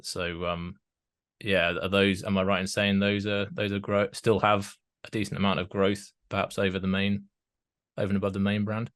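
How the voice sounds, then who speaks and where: A man hosting a casual podcast interview, putting his questions in a tentative, halting way. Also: low-pitched, flat delivery, fairly bright tone, dry recording, clean studio sound.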